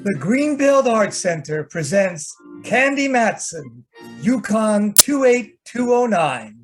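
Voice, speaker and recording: A man's voice: high-pitched, very animated, takes it slowly. A man announcing an old-time radio show in a theatrical, scripted style. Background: music.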